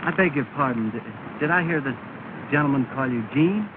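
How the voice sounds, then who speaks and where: A man's voice: mid-range voice, animated, fairly quick, lightly acted. An actor playing a polite, good-natured young man, courteous and mildly witty, in 1930 film acting. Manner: courteous, mild, dryly humorous. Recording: scratchy, tinny 1930 film soundtrack with hiss.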